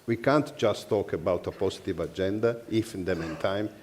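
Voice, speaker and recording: A man's voice: low-pitched, moderate pace, darkish tone, slight background noise. A man with an Italian accent speaking earnestly as a panelist in a forum discussion. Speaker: panelist, university network representative.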